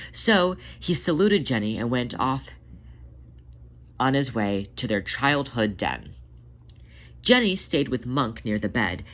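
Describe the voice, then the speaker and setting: A narrator reading scripted fiction in a dramatic, deliberate way, drawing out certain words. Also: mid-range voice, a bit bright.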